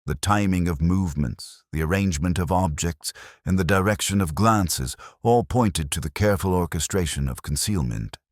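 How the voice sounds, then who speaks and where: Very deep, measured male mystery narrator. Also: no echo, clean studio sound. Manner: measured, literary, formal. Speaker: first-person detective narrator. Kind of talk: scripted audiobook-style mystery narration.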